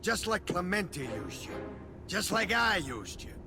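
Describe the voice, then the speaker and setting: An actor playing a contemptuous middle-aged mob boss in a game cutscene, taunting and sneering. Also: male voice, high-pitched, sing-song, unhurried, gravelly, full character voice. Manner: sneering, taunting, builds to shouting. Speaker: game character. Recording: game cutscene over loud music.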